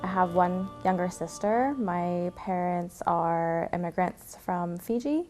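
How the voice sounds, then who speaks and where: A young woman from Canada calmly telling her personal story, reflective and soft-spoken with frequent pauses and fillers. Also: on the low side, faint music behind. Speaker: interviewee sharing her story. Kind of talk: personal testimony.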